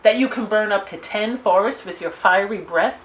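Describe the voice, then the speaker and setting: A woman's voice: medium pitch, normal speed, slight background noise, natural voice, low-quality recording. A woman voicing a bold, clever young princess in a children's storybook, with exclamations and pointed emphasis. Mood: eager, flattering. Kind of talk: children's storybook read-aloud.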